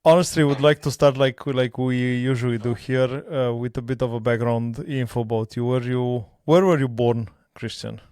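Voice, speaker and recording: A man's voice: mid-range voice, fairly even intonation, moderate pace, few pauses, darkish tone, clear, resonant tone, no echo. A man in a mid-range voice hosts a podcast and asks casual questions with a joking edge. He trips over his opening words, then talks on. The sound is close and dry. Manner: stumbling, jokey questions. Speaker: podcast host. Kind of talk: casual interview.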